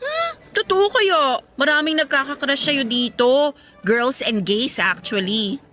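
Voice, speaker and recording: A woman's voice: high-pitched, lively intonation, takes it slowly, sharp tone, soft background music, heavily acted. An actress playing a chatty, teasing woman in her twenties in a radio drama. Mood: gossipy, teasing. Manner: chatty, teasing, casual conversational. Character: playful teasing young female office mate, age twenty-three. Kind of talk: Tagalog radio drama dialogue.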